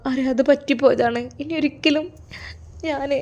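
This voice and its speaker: A woman's voice: high-pitched, fairly even intonation, normal speed, a little mellow, dull audio, voice pushed up. A woman voicing an anxious, remorseful young daughter in a story, with a Kerala Malayalam accent. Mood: tearful, pleading. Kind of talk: storytelling character voice.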